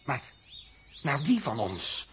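A man's voice: medium pitch, wildly swooping pitch, slow pace, fairly bright tone, exaggerated voice. An actor playing a brusque, practical space crewman in a 1960s radio drama. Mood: concerned, reasoning. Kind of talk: 1960s Dutch radio play acting. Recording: noisy old 1960s radio recording.

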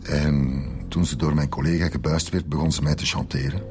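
Radio drama casting: troubled, subdued principal, extremely low voice, Flemish accent, hesitant delivery over music. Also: male voice, fairly even intonation. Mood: regretful. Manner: halting, subdued. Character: troubled male school principal confessing, resigned and hesitant. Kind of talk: naturalistic radio drama acting.

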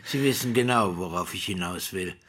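An older male actor playing a courtly, flattering school principal in a radio drama, husky, formal and measured, with weighty pauses. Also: low-pitched. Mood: solemn, insistent. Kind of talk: German radio drama acting.

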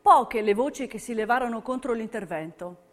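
Lively, high-voiced female stage narrator. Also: moderate pace. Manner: emphatic, dramatic storytelling. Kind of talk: scripted theatrical narration.

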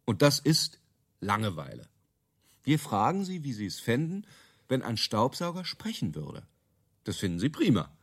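A man's voice: medium pitch, wildly swooping pitch, moderate pace. An actor playing a brash, self-assured marketing man in a radio drama, emphatic, opinionated and dismissive. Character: brash, self-assured male product-marketing creative selling gadget stories. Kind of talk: radio drama dialogue in interview form.

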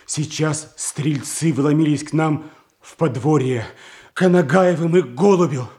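An actor playing a frightened merchant, agitated and imploring, in a theatrical radio play. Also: male voice, a little high, a little slow, darkish tone, natural voice. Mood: alarmed. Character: frightened, pleading merchant bringing bad news.

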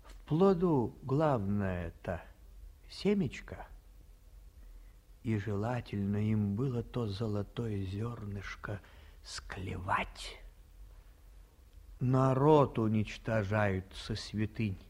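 A chatty old provincial innkeeper. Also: male voice, lowish voice, slow, long pauses, darkish tone, slightly rough, heavily acted. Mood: folksy. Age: older. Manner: folksy, storytelling, drops to whispers. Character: elderly male provincial hotel keeper, folksy, talkative. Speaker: provincial hotel director. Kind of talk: 1950s radio play acting.

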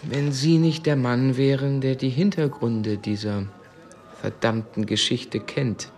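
An actor playing an uneasy anarchist comrade in a radio drama, putting pointed, inquisitive questions. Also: male voice, mid-range voice, slow pace, a little mellow, soft background music. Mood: probing.

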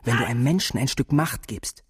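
An actor playing a plain-spoken, wry, worldly-wise soldier who explains things to comrades in a radio drama. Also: male voice, medium pitch, a little fast, lightly acted. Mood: wry, explaining. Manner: explains with homely examples.

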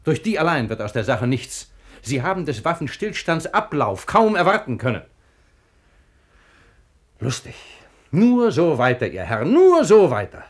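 An actor playing a proud, careworn sultan in a 1950s German radio play, with declamatory stage diction. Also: male voice, fairly high pitch, huge pitch swings, a little slow, exaggerated voice. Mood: troubled, bitter. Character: proud, generous, careworn sultan, devoted brother.

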